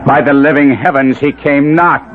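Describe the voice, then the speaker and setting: An actor playing an anguished nobleman trapped in a monster's body, narrating theatrically and deliberately in a 1970s radio drama. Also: medium pitch, steady tone, over music, lightly acted. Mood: horrified. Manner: theatrical, florid, deliberate storytelling. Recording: lo-fi phone-like vintage radio broadcast.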